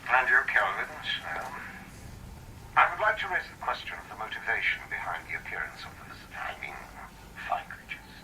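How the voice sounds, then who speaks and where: A man's voice: on the low side, wildly swooping pitch, normal speed, sharp tone, recorded from a distance, slight background noise, exaggerated voice, low-quality recording. An actor playing a formal, lecturing male scientist in a radio drama, pedantic and strained.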